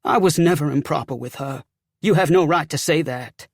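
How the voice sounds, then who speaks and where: Man playing a tormented Southern gentleman, gravelly and formal. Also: on the high side, moderate pace, no room sound, studio quality. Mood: indignant, defensive. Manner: formal, eloquent, anguished. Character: tormented genteel young Southern gentleman, wounded, guilt-ridden, defensive. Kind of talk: audiobook character acting.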